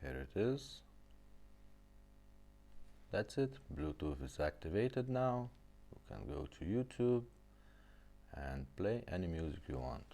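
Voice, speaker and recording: A man who speaks English as a second language, giving a casual, halting step-by-step product demonstration. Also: low-pitched, big pitch swings, vocal fry, mellow. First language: not English. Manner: halting, plain step-by-step explaining. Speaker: presenter demonstrating restored car radios.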